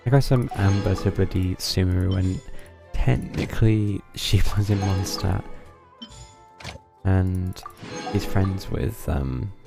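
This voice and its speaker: A man's voice: deep voice, takes it slowly, gravelly, over music. A man giving casual, rambling live commentary as he plays a game. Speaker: game streamer.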